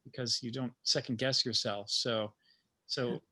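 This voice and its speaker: Male guest writer, bright and forward tone, medium pitch, thoughtful and halting informal talk. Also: fairly even intonation, husky. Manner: halting, thoughtful, chuckling asides. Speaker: playwright and teacher, guest speaker. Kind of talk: informal talk about creative process.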